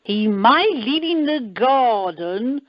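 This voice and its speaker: A woman's voice: fairly high pitch, animated, takes it slowly. A woman playing a stubborn, confused elderly grandmother in an indignant, contrary way, in amateur radio comedy. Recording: noisy, muffled telephone conference line.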